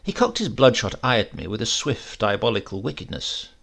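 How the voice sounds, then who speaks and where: Animated, husky Englishman reading a comic story. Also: on the low side, fairly quick. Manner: dramatic, relishing the phrasing. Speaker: audiobook reader. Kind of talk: expressive comic short story narration.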